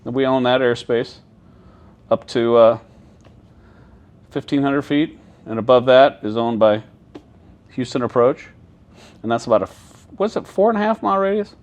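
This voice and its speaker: A man's voice: medium pitch, lively intonation, moderate pace, pauses often. A man working as an air traffic controller, giving a casual, plain-spoken walkthrough and often checking that the listener follows. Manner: plain-spoken, explaining step by step with checking questions. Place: inside an airport control tower.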